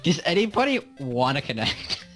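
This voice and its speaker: A man's voice: on the high side, big pitch swings, moderate pace, fairly bright tone, faint music behind. A young man co-hosting a casual video game chat, cracking sarcastic jokes. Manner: joking, sarcastic quips. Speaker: co-host.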